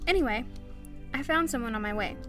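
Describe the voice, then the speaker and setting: A woman acts a matter-of-fact heroine returned as part angel in an audio drama. Her voice is high and sharply bright, with light music behind. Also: lively intonation, fairly quick. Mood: breezy.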